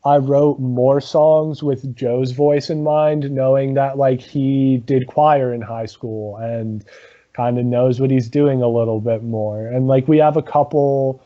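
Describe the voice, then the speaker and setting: A man, a guest in a casual interview, talking about his comedy rock band with a low voice, lots of ums and laughs. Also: steady tone, muffled recording. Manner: halting, many ums, laughs often.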